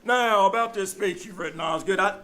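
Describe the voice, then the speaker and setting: An actor playing a stern, suspicious school official, insistent and authoritative, in old-time radio comedy style. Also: male voice, high-pitched, normal speed, noisy background, natural voice. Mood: insistent, probing. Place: live on a small theater stage.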